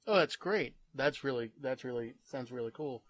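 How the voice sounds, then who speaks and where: A man's voice: mid-range voice, animated, normal speed, fairly bright tone, no room sound. Upbeat male host, dry close sound. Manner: upbeat, eager reactions. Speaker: interviewer and channel host. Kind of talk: casual gaming interview.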